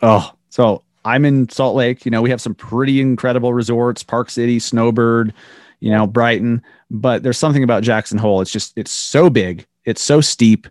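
Podcast host guy, chill, quick either-or questions, super dry sound, middle voice. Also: mid-range voice, fairly even intonation, a little mellow, dry recording. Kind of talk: casual podcast interview.

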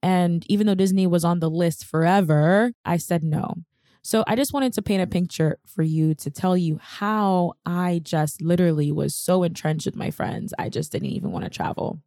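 A woman's voice: fairly low pitch, animated, normal speed, dark tone, no room sound. A young woman hosting a podcast, telling her own story in a candid, chatty, self-deprecating way on a close mic. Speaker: podcast host. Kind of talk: personal storytelling monologue.

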